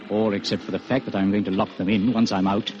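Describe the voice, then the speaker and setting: An actor playing a calm, cunning, gentlemanly prisoner in an old radio drama, controlled and persuasive. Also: male voice, lowish voice, fast, lightly acted. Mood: hushed, urgent. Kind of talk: 1940s radio drama acting. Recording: muffled, noisy old radio broadcast.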